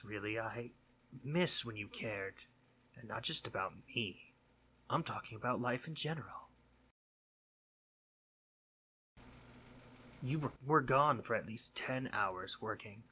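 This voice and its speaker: Man voicing a male sleep demon, lowish sharp husky voice, snarky yet caring, rambling, intimate roleplay audio. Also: exaggerated voice. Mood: concerned. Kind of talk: scripted roleplay audio monologue.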